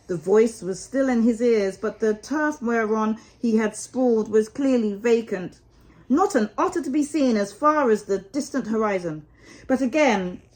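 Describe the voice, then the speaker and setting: Expressive woman reading a storybook aloud. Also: fairly high pitch, low-quality recording.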